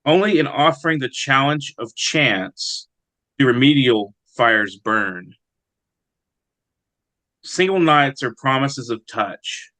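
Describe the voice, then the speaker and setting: A man speaking as an interviewed poet, in a reflective, halting conversational way. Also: mid-range voice, lively intonation, sharp tone, no room sound. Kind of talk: conversational interview with brief poem reading.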